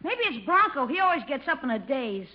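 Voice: high voice, very animated, brisk. A performer playing a wisecracking American schoolboy nephew in a radio sitcom, brash and slangy. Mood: cheeky. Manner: brash, slangy, smart-alecky. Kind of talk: 1950s radio sitcom acting. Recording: muffled, noisy old radio recording with clicks.

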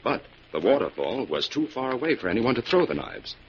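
An actor playing a confident, shrewd crime-fighting investigator in an old-time radio drama, explaining things in a crisp, declarative way. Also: male voice, mid-range voice, very animated, brisk, natural voice. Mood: assured explaining. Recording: dull, noisy old radio recording.

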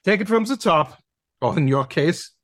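A man's voice: high-pitched, lively intonation, normal speed, fairly bright tone, no echo, studio quality. A man playing a domineering, mocking dog who teaches a masterclass in an audio play, brusque and commanding, sprinkling in German words. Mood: bossy, mocking.

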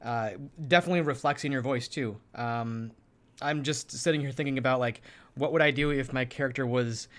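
A man's voice: mid-range voice, fairly quick, a bit bright. Hesitant man sharing practical tips. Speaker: co-host giving tabletop role-play voice tips. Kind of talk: conversational instructional chat.

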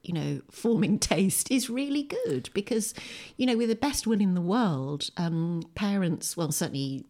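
A woman's voice: medium pitch, animated, measured pace, few pauses, dark tone. A middle-aged English woman, a writer, chatting informally and enthusiastically as an interview guest at home. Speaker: poet and writer, podcast guest. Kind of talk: conversational interview. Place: at home, indoors.

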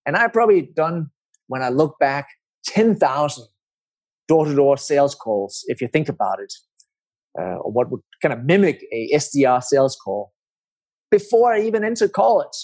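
A middle-aged man with a Danish accent, telling his story as an interview guest in measured, explanatory phrases. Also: on the high side, expressive, darkish tone, slightly rough. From Denmark.

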